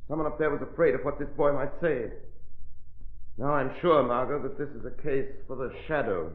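Urgent actor's detective hero, echoey old radio. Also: male voice, medium pitch, brisk, close to an everyday voice. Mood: grave. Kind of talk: old-time radio drama acting. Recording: echoey, noisy, dull old radio recording.

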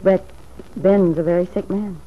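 A woman's voice: on the low side, steady tone, a little slow, close to an everyday voice. An actress playing a troubled, wavering wife who plots with her lover in a radio drama, earnest and hesitant. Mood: worried. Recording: tinny, noisy old radio recording.

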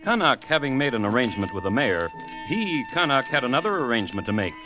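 An actor playing a wry storyteller in a classic radio drama, narrating theatrically with deliberate pauses. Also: male voice, medium pitch, very animated, over music, close to an everyday voice. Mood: dry, explanatory. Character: wry storyteller chronicling a village murder tale.

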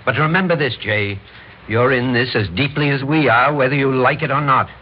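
A man's voice: medium pitch, a little fast, breathy edge, lightly acted. A man acting a stern, domineering major who blusters with authority in a mid-century radio drama. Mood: stern, threatening. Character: stern, domineering major presiding over a kangaroo court. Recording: muffled old radio recording with faint noise.

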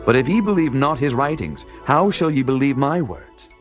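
An actor playing Jesus as a calm, authoritative male teacher, speaking solemnly in a dramatized scripture reading. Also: mid-range voice, normal speed, natural voice. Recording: dull lo-fi audio with music.

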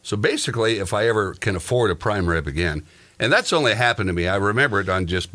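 Male podcast host, bright and animated, rambling comic chatter. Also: on the low side, fast. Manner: joking, rambling, tells exaggerated everyday anecdotes. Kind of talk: casual comic chat.

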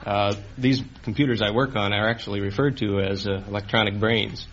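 A man's voice: fairly low pitch, steady tone, fairly quick, fairly bright tone. A man answering interview questions as a contestant, hesitant and matter-of-fact. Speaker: quiz contestant, computer designer. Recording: dull old radio broadcast, faint noise.

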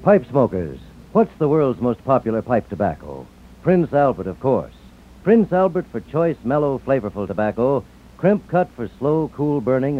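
An American man reading a punchy, salesmanlike advertising pitch in 1940s radio style. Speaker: commercial announcer. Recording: tinny narrow-band old radio.

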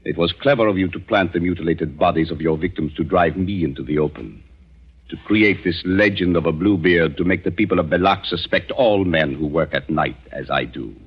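An actor playing a calm, grim French resistance leader and village doctor in a 1940s radio drama, measured and menacing. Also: male voice, sits low, slightly rough. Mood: grim, accusing. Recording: dull 1940s radio broadcast recording.

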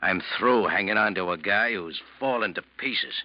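Man playing a husky, bullying first mate. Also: fairly low pitch, normal speed, natural voice. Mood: menacing. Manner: blunt, bullying, sarcastic. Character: gruff, scornful, scheming first mate. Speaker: radio drama actor. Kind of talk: classic radio drama acting. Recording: muffled vintage radio recording.

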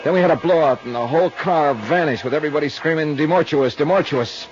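An actor playing a tough, world-weary insurance investigator in a 1950s radio drama, speaking and narrating in a dry, wry, understated way. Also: male voice, on the high side, quick pace, close to an everyday voice. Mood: weary, rueful. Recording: muffled 1950s radio recording with music.

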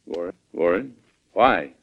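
An actor playing a resolute middle-aged American president with an emphatic, authoritative delivery in an old-time radio drama. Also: male voice, deep voice, lively intonation, measured pace, creaky, exaggerated voice. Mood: brisk. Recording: dull, lo-fi vintage radio recording.

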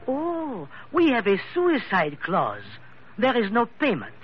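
A man's voice: very high voice, huge pitch swings, slow, slightly rough, heavily acted. Jaunty ruthless frenchman, french accent, swoops up and down, muffled old radio. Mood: blithe. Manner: blithe, theatrical, formal phrasing. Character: jaunty, coolly ruthless French insurance investigator. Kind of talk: 1940s radio adventure acting. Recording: muffled, hissy vintage radio.

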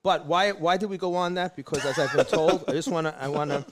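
A man hosting a casual podcast chat, talking in a rambling, animated way. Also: on the high side. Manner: rapid-fire, rambling, animated. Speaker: podcast host. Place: pastry shop.

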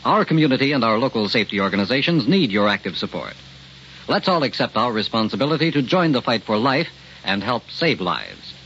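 Radio announcer guy, very animated, scripted psa read, dull slightly noisy sound. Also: medium pitch, brisk. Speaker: public service announcer. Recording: muffled radio recording with hiss.

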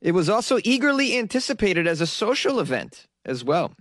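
Male host, casual news riffs, sarcastic and rambling, slightly high voice, dead-dry sound. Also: big pitch swings, fairly quick, fairly bright tone, no echo. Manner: sarcastic, rambling, jokey asides to viewers. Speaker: solo news commentary host. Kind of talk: casual commentary reading news articles.

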